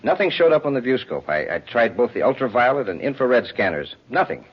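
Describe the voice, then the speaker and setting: Worried space lieutenant, husky and quick, earnest, old radio show. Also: male voice, medium pitch, big pitch swings. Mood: troubled. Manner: earnest, formal military delivery. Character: troubled, respectful young space patrol lieutenant. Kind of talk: 1950s radio drama acting. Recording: dull old radio recording.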